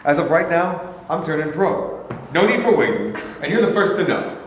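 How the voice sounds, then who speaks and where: A man's voice: on the high side, lively intonation, moderate pace, lightly acted. Cocky boxer played by a man, echoey hall. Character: cocky, ambitious male lightweight boxer. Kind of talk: staged play reading. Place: mid-sized rehearsal studio, far camera. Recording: echoey hall, faint noise.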